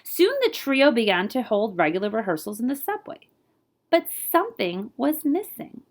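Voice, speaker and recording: A woman reads a children's picture book aloud in the role of a librarian. Her delivery is animated and playful, with a widely moving pitch. She steps out of the story to chat to her listeners. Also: normal speed. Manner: animated, playful with scat-like sound words. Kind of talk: expressive children's read-aloud with asides to listeners.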